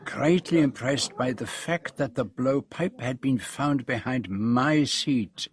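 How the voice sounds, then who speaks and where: An actor playing a famous foreign detective in a radio drama, with a French accent, precise, courteous, self-assured and dryly witty. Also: male voice, mid-range voice, slow, breathy edge. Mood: measured narration. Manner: precise, courteous, sprinkles speech with French phrases. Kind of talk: radio drama dialogue and narration.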